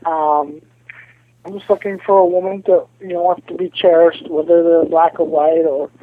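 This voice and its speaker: A man in his late thirties from the Pittsburgh area talks on a muffled, dry phone line. His voice sits a bit high, and he stumbles through his boasts with plenty of ums and you-knows. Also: flat delivery, no room sound. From Pittsburgh area, USA. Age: 30s. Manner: halting, filler-heavy, boastful. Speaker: caller. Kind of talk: casual phone chat.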